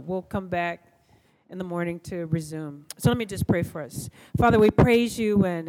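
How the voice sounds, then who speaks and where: A woman hosts a gathering, addressing the group live. Her voice is medium in pitch. She phrases things gently and deliberately, halting as she finds her words. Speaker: session host closing the evening with prayer.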